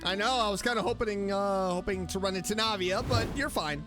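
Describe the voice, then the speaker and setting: A woman doing casual, playful let's play commentary, reacting with drawn-out exclamations. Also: medium pitch. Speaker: VTuber game streamer. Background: game music.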